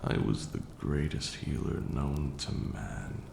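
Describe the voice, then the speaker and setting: Over rain and thunder in a roomy echo comes an ancient vampire lord, acted by a man. He speaks slowly in an extremely deep voice, hushed and formal. He is proud yet wistful and tender, like a storyteller in an intimate ASMR roleplay. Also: vocal fry, background noise, exaggerated voice. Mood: proud, reminiscing. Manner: measured, formal, hushed, with long pauses.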